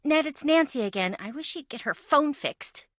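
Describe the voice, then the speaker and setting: Young female game sidekick, sassy, sarcastic, animated pitch swings, close dry sound. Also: normal speed, dry recording. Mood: worried. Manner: teasing, sarcastic, dryly exaggerated. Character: sassy young woman, the detective's wisecracking best friend. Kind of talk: video game voice acting.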